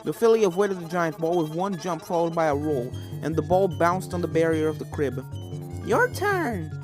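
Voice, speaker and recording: Low-pitched woman narrating over music. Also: a little fast. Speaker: fan fiction narrator. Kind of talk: scripted story narration. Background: video game music.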